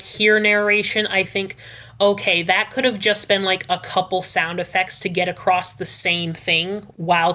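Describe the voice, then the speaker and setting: Podcast panelist: woman with a lowish voice, chatting through opinions in a measured, qualifying manner. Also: bright, forceful tone. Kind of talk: casual panel discussion giving opinions.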